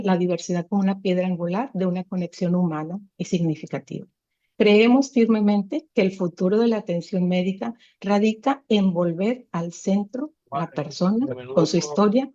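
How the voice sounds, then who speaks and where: Woman from mexico, formal talk, mellow dark tone, muffled audio. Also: medium pitch. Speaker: conference presenter. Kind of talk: conference presentation.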